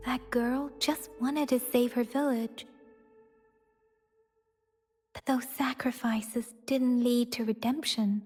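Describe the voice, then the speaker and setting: An actress voicing a gentle, empathetic young woman in a video game, with a soft, measured, solemn delivery. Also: on the high side, steady tone, husky. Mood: somber, uneasy. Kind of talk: video game story dialogue. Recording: music behind the voice.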